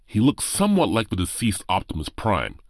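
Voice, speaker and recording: A man's voice: fairly low pitch, huge pitch swings, brisk, no room sound, studio quality. A man reading scripted narration for an audio drama.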